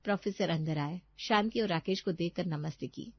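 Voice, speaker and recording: A woman's voice: lowish voice, normal speed, no room sound, dull audio. A woman reading scripted storytelling narration as the narrator.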